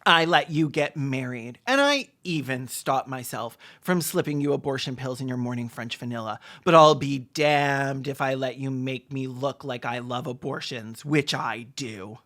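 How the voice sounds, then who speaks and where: A commentator parodying a spiteful woman boss in a film spoof. The voice sits at a middle pitch, with sarcastic menace and scheming relish. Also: normal speed, hardly any pauses, a bit bright, natural voice. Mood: smug menace.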